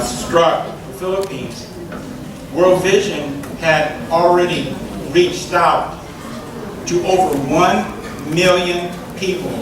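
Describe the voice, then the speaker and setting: A man speaking for a relief charity to an audience, measured and emphatic, building his points through repeated phrases. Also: high voice. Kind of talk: speech to a concert audience. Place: large echoey hall. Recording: echoey hall, distant mic, noisy.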